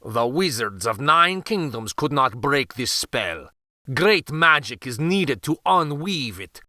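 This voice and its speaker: A man's voice: mid-range voice, very animated, slow pace, bright, forceful tone, no room sound, studio quality, full character voice. A man voicing a scornful, imperious old Grand Vizier in a children's fairytale. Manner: imperious, scoffing.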